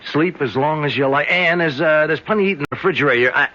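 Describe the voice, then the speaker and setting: An actor playing a nervous, evasive gangster's friend who stammers and placates in an old-time radio drama. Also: male voice, a little high, quick pace, sharp tone. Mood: eager, nervous. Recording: muffled old radio recording.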